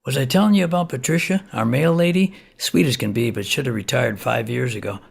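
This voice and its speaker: An actor playing a rambling, folksy older man who uses drugs, telling his comic story in a hesitant, husky, gravelly voice. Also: mid-range voice, brisk. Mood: chatty, confiding. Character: rambling, shabby older neighbour man, a folksy drug user. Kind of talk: comic stage monologue.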